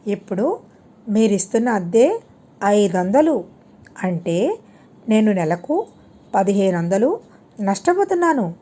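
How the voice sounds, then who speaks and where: A woman's voice: mid-range voice, very animated, measured pace, pauses often, warm tone, pitched below the performer's own voice. A woman voicing a shrewd, polite but firm male landlord in an audiobook story, spelling out sums step by step. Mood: calculating, insistent.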